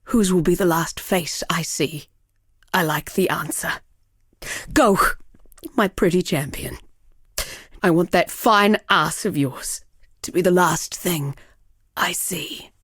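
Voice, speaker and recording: An actress playing a proud, seductive, unrepentant villainess sorceress in a video game, with a measured, theatrical, sardonic delivery. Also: female voice, fairly low pitch, breathy edge, no echo, clean studio sound. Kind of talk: dramatic video game character acting.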